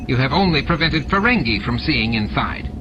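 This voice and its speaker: A man voicing a bossy, scheming old male alien patriarch in a querulous, drawn-out, imperious way. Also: medium pitch, expressive, muffled recording, voice pushed up, lightly acted. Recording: noisy audiobook with added sound effects.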